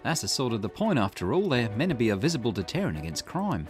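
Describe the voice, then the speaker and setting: A self-assured amateur sleuth acted by a man in a visual novel. The delivery is confident, teasing and explanatory, with a mid-range pitch that swings expressively and faint music behind. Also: sing-song, quick pace, close to an everyday voice. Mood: confidently explaining.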